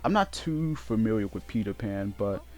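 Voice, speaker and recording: A man giving casual, unscripted game commentary in a halting way, often repeating and correcting himself as he goes. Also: fairly low pitch, lively intonation, darkish tone. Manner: halting, repetitive, self-correcting. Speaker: let's play host. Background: game music.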